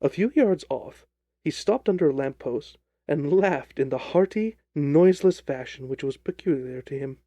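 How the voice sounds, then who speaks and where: Mellow, creaky male story narrator. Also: on the high side, lively intonation, moderate pace, no echo, lo-fi sound. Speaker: audiobook narrator.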